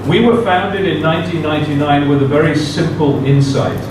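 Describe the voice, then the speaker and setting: A man giving a formal talk as guest speaker at a university seminar, speaking carefully with many "uh" fillers. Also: fairly high pitch. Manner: measured, careful delivery with frequent "uh" fillers. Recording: very echoey room, distant mic, background noise.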